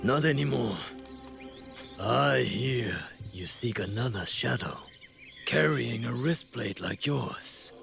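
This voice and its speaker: A gravelly, deliberate fallen shogun over music. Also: male voice, mid-range voice, lively intonation, exaggerated voice. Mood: calculating. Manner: measured, formal, condescending. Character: defeated deposed shogun, proud, calm, calculating negotiator. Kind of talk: dramatic video game cutscene acting.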